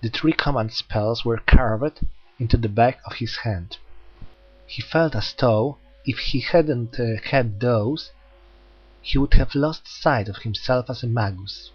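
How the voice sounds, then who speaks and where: A man's voice: medium pitch, takes it slowly, breathy edge, dull audio. A man reading a light novel aloud as an amateur audiobook narrator.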